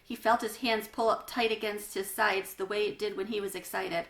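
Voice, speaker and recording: A woman reading a children's story aloud as a storyteller, with expressive delivery. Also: mid-range voice, moderate pace, vocal fry. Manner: explains hard words in asides. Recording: roomy, echoey room sound.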